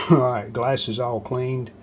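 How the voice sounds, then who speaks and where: A man casually narrating a hands-on how-to as he works, plain-spoken, unhurried and modest. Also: mid-range voice. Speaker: restoration hobbyist and video host. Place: home basement workshop. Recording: steady background noise, handling rustle.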